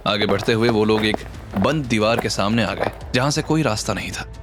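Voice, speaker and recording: A man's voice: fairly low pitch, brisk. A man narrating a scripted story in a dramatic audio series style. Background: background music.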